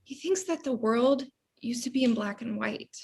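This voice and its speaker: Woman acting husky, higher-voiced weary young sister. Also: moderate pace, a little mellow. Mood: wry, exasperated. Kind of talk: virtual table read of a sitcom script.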